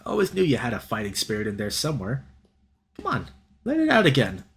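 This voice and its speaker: A man's voice: mid-range voice, huge pitch swings, fairly quick, vocal fry, fairly bright tone, full character voice. A man voicing a scrappy young male fox in a visual novel, fiery and loyal, speaking in an impassioned, theatrical way. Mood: teasing.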